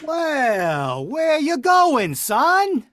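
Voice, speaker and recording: A woman's voice: sits high, wildly swooping pitch, very slow, slight background noise, full character voice. A woman playing a bumbling middle-aged father in an amateur vintage radio play, blustery and sing-song.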